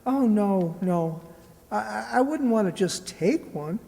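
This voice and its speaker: A woman's voice: medium pitch, expressive, unhurried, gravelly, warm tone. A woman playing a polite, earnest poor boy in an amateur radio play, hesitant and deferential. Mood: wistful, eager. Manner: deferential, hesitant, eager to please. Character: polite, earnest, humble poor boy seeking work in London. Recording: echoey hall, long reverb.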